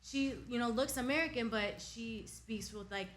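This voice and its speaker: A woman from a girl group relating her experience in a casual interview, relaxed and chatty. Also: on the high side, moderate pace, background noise. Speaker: singer in a girl group, guest.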